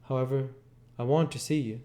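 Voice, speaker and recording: A young socialite woman, as a male reader puts her on, speaks in a raised pitch with a cool, brisk air. She is curt, in storybook dialogue read aloud. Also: steady tone, mellow, natural voice. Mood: insistent. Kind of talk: acted dialogue in a novel reading.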